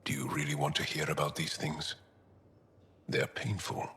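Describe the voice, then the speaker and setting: An actor playing a gentle, earnest male alien resistance fighter in a video game, speaking in a measured, solemn, heartfelt way with a deep, gravelly voice. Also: little pitch movement, in a large hall, exaggerated voice. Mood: sorrowful. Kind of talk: video game cutscene acting.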